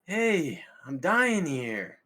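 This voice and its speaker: A man playing an excitable young stoner at a party in a comedy film table read. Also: on the high side, drawn-out words, exaggerated voice. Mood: pleading. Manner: slangy.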